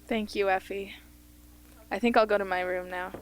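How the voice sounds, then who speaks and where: A woman's voice: mid-range voice, fairly even intonation, moderate pace, soft background music, lightly acted. A woman playing a guarded, modest but stubborn teenage girl hunter in an amateur radio drama, plain-spoken in delivery. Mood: flat, doubtful.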